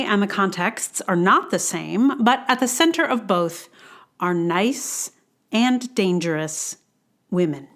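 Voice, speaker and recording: A woman hosting a blogcast, reading her personal essay in a reflective, conversational way with wry, self-deprecating asides. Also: on the low side. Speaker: blogcast host and writer.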